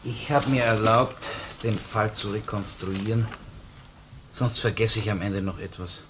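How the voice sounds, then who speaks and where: An actor playing a reserved, controlled husband who answers police questions tersely and guardedly in a crime radio play. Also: male voice, on the low side, steady tone, a little slow, noisy background, natural voice. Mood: composed, deliberate. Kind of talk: German-language crime radio play acting.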